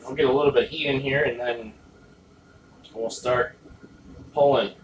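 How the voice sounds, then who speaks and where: A man with a hoarse, failing voice talks casually and haltingly to camera while working, muttering in a low-key way and clearing his throat. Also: steady tone. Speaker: vlogger working on a car repair. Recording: roomy echo, distant mic, faint noise.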